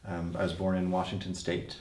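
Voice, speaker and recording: A man from Oregon who works as a freelance musician, talking in an interview with many pauses and fillers. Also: low voice, monotone, a little mellow. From Portland, Oregon, USA. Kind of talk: interview talk. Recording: echoey room.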